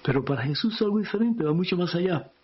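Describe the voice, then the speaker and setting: A male priest teaches on a radio show, asking questions and answering them himself. His voice is husky and breathy. The broadcast sound is dull and muffled. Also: high-pitched, expressive, quick pace. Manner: preaching, asks rhetorical questions, repeats key phrases. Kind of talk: radio catechesis talk. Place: radio studio.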